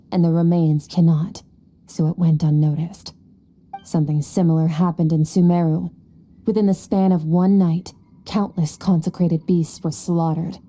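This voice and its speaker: A woman's voice: low voice, dark tone. A woman playing a cold, aloof and powerful young female warrior in a video game, curt, detached and condescending.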